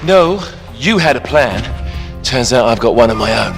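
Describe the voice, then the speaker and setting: A British man playing a cocky, smooth-talking, treacherous thief in a video game cutscene, glib and mocking. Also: mid-range voice, lively intonation, normal speed, music in the background, close to an everyday voice. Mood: smug, mocking. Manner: glib, sardonic, teasing.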